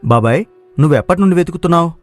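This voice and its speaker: A cocky young idler from a village tale, played by a man, talks fast and fairly high. He is brash and impatient, a lazy dreamer. Music runs underneath. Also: expressive, close to an everyday voice. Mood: eager. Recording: music playing behind the voice.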